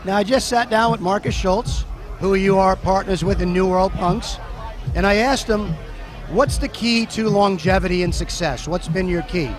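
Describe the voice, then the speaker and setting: A man hosting a radio interview backstage at a music event, asking brisk, upbeat questions. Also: high-pitched, some chatter behind. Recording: loud music in background. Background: music playing.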